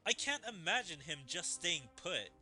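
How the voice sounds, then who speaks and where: A man's voice: high voice, sing-song, moderate pace, bright, forceful tone, soft background music, heavily acted. A man voicing a shrewd female emperor from a game, musing in a condescending manner. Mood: musing, scheming.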